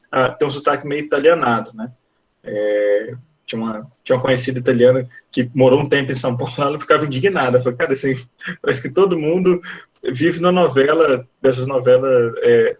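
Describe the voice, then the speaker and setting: A man from Brazil with a coastal Brazilian accent, giving an online university lecture in a rambling way, full of fillers and tag questions. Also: mid-range voice. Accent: Brazilian Portuguese, coastal.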